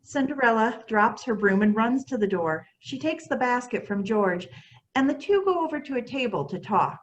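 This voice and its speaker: A woman's voice: on the high side, expressive, moderate pace, lo-fi sound. A woman reading stage directions as a scripted narrator.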